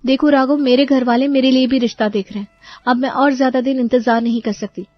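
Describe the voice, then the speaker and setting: Lady as young girlfriend in a cartoon story, earnest, high voice, close dry sound. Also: steady tone, a little fast, dry recording, dull audio, faint music behind, lightly acted. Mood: earnest, worried. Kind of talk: Hindi cartoon story voice acting.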